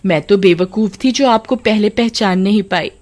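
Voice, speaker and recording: A woman voicing an excitable, sweet village girl in a storybook tale, gushing and giggly. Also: medium pitch, normal speed, natural voice. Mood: coy.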